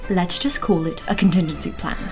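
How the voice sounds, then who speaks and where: A woman's voice: fairly low pitch, fairly quick, music in the background, lightly acted. A woman playing a practical, wary elf mage in a fantasy audio drama, with a dry, mildly impatient manner. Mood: defensive.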